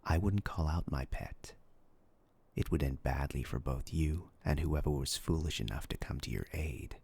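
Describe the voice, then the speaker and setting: Very deep, gravelly, menacing demon. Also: male voice, darkish tone, heavily acted. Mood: sly menace. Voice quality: gravelly, husky, breathy with whispered edges. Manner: slow, menacing, mock-polite, savouring pauses. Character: sinister male demon possessing a human body, hungry and taunting. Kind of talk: dark fantasy roleplay voice acting.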